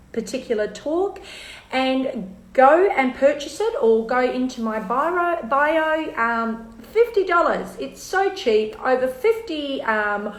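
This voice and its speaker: A woman's voice: high-pitched, roomy sound, low-quality recording. A woman with an Australian accent hosting a casual live-stream interview, chatty and easygoing, with lots of ums. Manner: chatty, filler-heavy, easygoing. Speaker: host.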